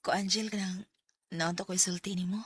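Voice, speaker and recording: A woman's voice: lowish voice, little pitch movement, fairly quick, a bit bright, breathy edge. A woman with a Cebuano Filipino accent playing a persistent, lovestruck young tomboy in a radio drama, talking casually and coaxingly. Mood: earnest, lovestruck.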